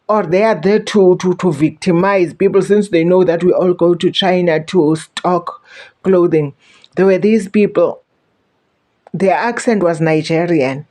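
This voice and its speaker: A woman's voice: fairly low pitch, mellow. South African woman vlogger, chatty, repeats words, gives warnings. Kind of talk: casual storytelling to camera.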